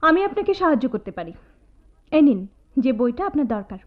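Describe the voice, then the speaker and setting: An actress playing a spirited, sharp-tongued young woman student in a Bengali radio drama, taunting and animated. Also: sits high, fairly quick. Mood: indignant, taunting. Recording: tinny phone-like radio drama audio, music behind.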